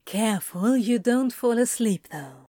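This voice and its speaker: A woman voicing a male demon yoga instructor in an audio fan fiction drama, soft-spoken and coaxing, patient but exasperated. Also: on the high side, animated, a little slow, no room sound, studio quality.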